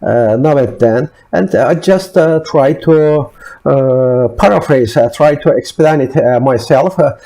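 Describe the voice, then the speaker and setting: A hoarse man with a Turkish accent speaks in a halting, stop-start way, filling every pause. His voice is dark and mellow, sitting at an ordinary pitch for a man. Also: rough recording. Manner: hesitant, many filler sounds. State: hoarse from a flu. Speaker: YouTube channel host. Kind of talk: halting spoken introduction.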